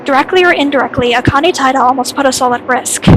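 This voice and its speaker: A woman's voice: high voice, fast talker, a bit bright, a little hiss or hum. A woman voicing a cold, uncompromising young student leader in a drama, argumentative and stern. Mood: stern, accusing.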